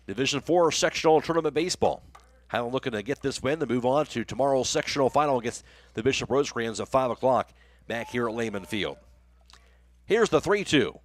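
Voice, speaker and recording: A man's voice: medium pitch, fairly quick, dry recording. A man with an American accent doing live radio play-by-play commentary of a baseball game as the announcer.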